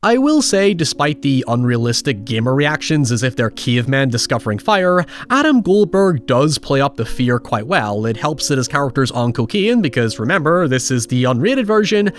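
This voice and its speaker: A man's voice: medium pitch, big pitch swings, normal speed, hardly any pauses. A man narrating a scripted video essay as its host, giving his opinions with a wry, casually sweary manner. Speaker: host of a film retrospective show.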